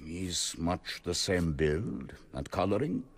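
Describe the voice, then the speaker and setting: An actor playing a gruffly jovial Northman gladiator and hired killer in a radio drama, theatrical and emphatic. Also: sits low, animated, takes it slowly, full character voice. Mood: grim, matter-of-fact.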